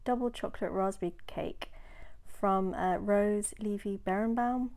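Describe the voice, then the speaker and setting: A woman narrating a home baking video in a chatty, relaxed way, sounding a little nasal from a cold, sometimes dropping into soft whispered asides. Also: mid-range voice, a little slow. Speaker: home baker. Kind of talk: casual baking voice-over.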